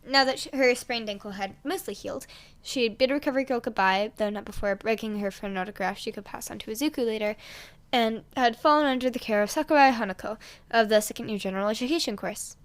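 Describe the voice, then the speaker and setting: Higher-pitched woman reading a story. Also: quick pace. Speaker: narrator, amateur audiobook reader. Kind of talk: expressive fan fiction story reading.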